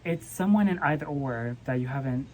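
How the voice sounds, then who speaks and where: A woman's voice: extremely low pitch, expressive, a little fast, darkish tone. A young woman hosting a casual book chat in an informal, conversational, hedging manner. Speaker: book vlogger. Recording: clear background noise.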